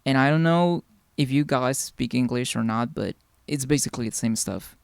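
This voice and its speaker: A man's voice: mid-range voice, measured pace, vocal fry, clean tone, dry recording. A young man from Peru, chatting casually with viewers during a game stream, rambling along and answering their comments.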